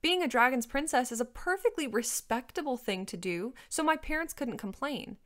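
Woman playing young princess, storybook, higher pitch, spirited, forthright, earnest, polite, stubborn. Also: lively intonation, moderate pace, fairly bright tone. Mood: polite, eager.

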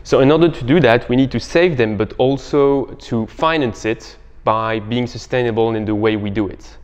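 Smooth-voiced young man in a reverberant room. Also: mid-range voice, muffled recording. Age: young adult. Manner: halting, hesitant phrasing. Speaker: startup co-founder, interview guest. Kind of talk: explanatory interview answers. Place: bright indoor living room. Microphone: clip-on lapel mic.

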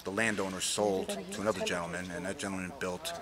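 A middle-aged man informally explaining a site's history in a halting, matter-of-fact way, recorded on a camera inside an abandoned building. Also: deep voice, big pitch swings, a loud crowd. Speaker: local guest explaining site history. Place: inside a large dark abandoned building at night. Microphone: camera a few feet away. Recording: camera audio with people chattering behind.

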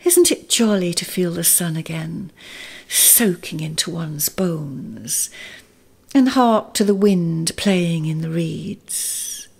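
A woman's voice: fairly low pitch, lively intonation, takes it slowly, vocal fry, a little mellow, husky, heavily acted. A woman voicing a kindly male water rat who talks in a languid murmur. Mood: contented.

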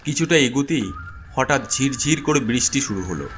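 A man's voice: medium pitch, expressive, brisk, fairly bright tone, slight background noise. A man narrating a horror story in the first person in a dramatic, suspenseful, theatrical way.

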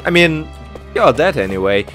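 A man hosting a gaming video with excited, exclamatory commentary, stammering and peppering his talk with slang. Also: fairly high pitch, moderate pace, faint music behind.

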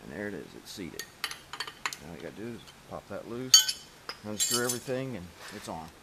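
A man's voice: on the low side, sing-song, a little fast. A middle-aged man giving casual, practical how-to instructions in short pieces while he works with his hands in a garage workshop, picked up by a camera mic. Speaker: home mechanic demonstrating an engine rebuild. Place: large metal-walled garage workshop indoors. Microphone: camera mic a step or two away. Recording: noisy workshop recording, clicking tool sounds.

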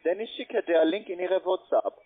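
A man with a foreign accent, a non-native speaker working as a call center agent, asking halting, insistent questions over the phone. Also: high voice. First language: not German. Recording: tinny narrow phone line.

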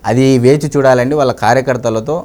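A man's voice: mid-range voice, steady tone, a little fast, warm tone. A man giving his political opinions conversationally as an interviewee, emphatic and repeating phrases to drive points home. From Andhra Pradesh, India.

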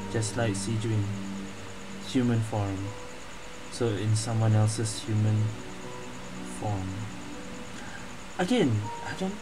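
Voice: mid-range voice, poor audio. A gaming streamer giving casual playthrough commentary, hesitant and thinking aloud.

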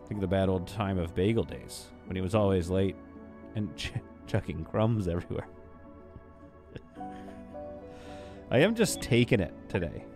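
A jokey Canadian man chatting through a game stream on a boom mic. His pitch leaps around a lot, and faint game music hums underneath. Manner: playful, jokey, lively, swings between loud bursts and quiet asides. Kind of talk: casual livestream chat while building in a game. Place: home room with a green screen. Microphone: studio mic on a boom arm.

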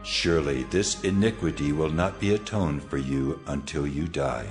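A very deep, stern voice of God. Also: male voice, normal speed, over music. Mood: stern, commanding. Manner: solemn, commanding. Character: stern commanding voice of God pronouncing judgment. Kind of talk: dramatized audio Bible.

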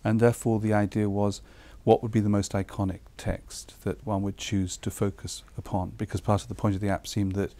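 A man speaks in a mellow, fairly low voice, reflecting on his work as a publisher. He circles back on his words as he thinks, careful and considered. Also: flat delivery, a little fast, hardly any pauses. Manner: thoughtful, repeats words while searching. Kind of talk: interview remarks.

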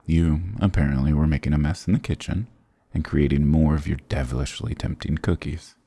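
Very deep, gravelly flirty goth guy, acted by a man. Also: steady tone, brisk, warm tone, no room sound, heavily acted. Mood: teasing, curious. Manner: teasing, affectionate, mock-dramatic. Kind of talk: intimate ASMR roleplay acting.

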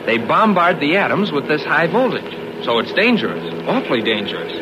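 A confident young American hero, quick-talking and animated. He leads in assured old radio serial style, on a very noisy recording. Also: on the high side, lo-fi sound. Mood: confident, explaining. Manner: brisk, assured, explanatory. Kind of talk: 1940s radio serial acting.

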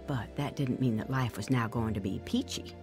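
Very low-voiced woman narrator over music. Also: normal speed. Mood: reflective. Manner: reflective, storytelling. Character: grown woman recounting her abusive childhood, reflective and frank. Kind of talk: first-person radio drama narration. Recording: music under the voice.

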